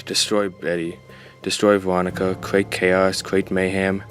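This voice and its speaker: A man's voice: sits low, monotone, takes it slowly, a bit bright, soft background music, poor audio. A man playing a snobbish, sardonic rich teenage boy in a fan audio drama, drawling and sighing. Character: snobbish rich teen boy, twin brother.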